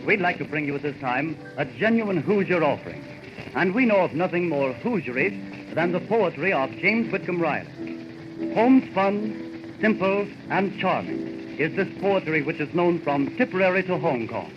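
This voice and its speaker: Male 1930s radio announcer, formal and declamatory, somewhat high voice, dull aircheck sound. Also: animated, moderate pace, over music.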